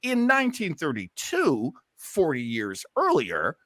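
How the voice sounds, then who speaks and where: Animated, bright-voiced middle-aged man hosting. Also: a little high, measured pace, no room sound. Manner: animated, theatrical, teasing. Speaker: host of an old-time radio listening show. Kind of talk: casual panel chat and show introduction.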